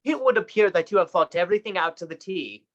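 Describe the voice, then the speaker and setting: A woman's voice: fairly low pitch, expressive, fast talker, natural voice. A woman acting in a radio play as a principled, distressed young male medical apprentice, speaking earnestly and formally, on dull lo-fi audio. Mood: reproachful. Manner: earnest, formal, dramatic delivery.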